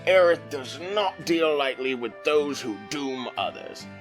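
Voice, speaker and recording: A man's voice: medium pitch, expressive, unhurried, faint music behind, lightly acted. A man playing a genial, theatrical keeper of tales who courteously addresses his guest in an audio drama. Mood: genial, grandiose. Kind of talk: audio drama host narration.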